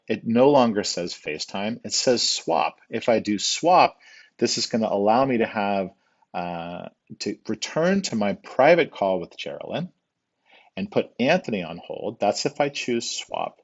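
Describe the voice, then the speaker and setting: Male tutorial instructor, fairly low register, patient and informal step-by-step delivery, mildly lo-fi sound. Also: very animated, normal speed.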